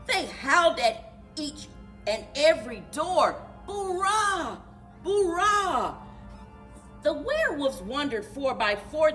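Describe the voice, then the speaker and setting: A woman reading a children's storybook aloud in an animated, rhythmic singsong for a library story time. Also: high voice. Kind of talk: children's storybook read-aloud with chanted refrains.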